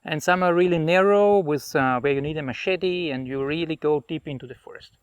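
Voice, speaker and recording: A middle-aged man from Austria, a hotel owner, talking in a relaxed and rambling way with restarts while walking his jungle property. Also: a little high, fairly quick, clean tone, no room sound, highs cut off. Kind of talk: casual interview on a property tour.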